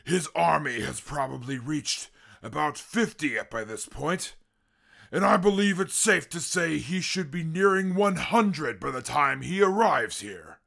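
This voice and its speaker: A man voicing an eloquent, pompous and brutal super mutant with a gravelly, husky voice, in a post-apocalyptic radio drama. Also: fairly high pitch, lively intonation, a little slow, a bit bright, full character voice. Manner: pompous, formal, wordy, prone to violent outbursts.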